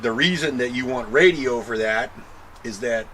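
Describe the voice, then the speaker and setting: An American man giving a casual, halting explainer to camera in an informal, thinking-aloud way. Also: mid-range voice, fairly bright tone, slight background noise. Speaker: vlogger showing a truck modification.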